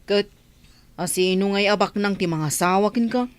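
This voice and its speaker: A woman's voice: mid-range voice, moderate pace, clean tone, dry recording, natural voice. An actress playing a teasing young village woman who mocks her friend in a comedy radio play. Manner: teasing, mocking.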